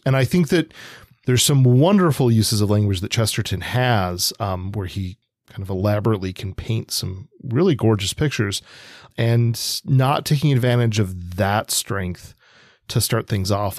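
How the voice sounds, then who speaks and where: A man co-hosting a podcast, discussing literature in a measured, analytical, conversational way. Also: on the low side, lively intonation, no echo, studio quality. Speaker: podcast co-host.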